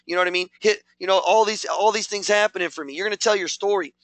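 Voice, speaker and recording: A man's voice: high voice, quick pace, a bit bright, no echo. A man giving a punchy, repetitive motivational training talk to a network marketing team. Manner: punchy, repetitive, hyped coaching.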